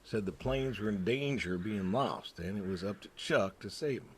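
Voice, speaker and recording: A man's voice: fairly low pitch, normal speed, breathy edge. A man playing an aging, wistful, plainspoken pig farmer, terse and folksy in naturalistic video game dialogue. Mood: matter-of-fact, dry.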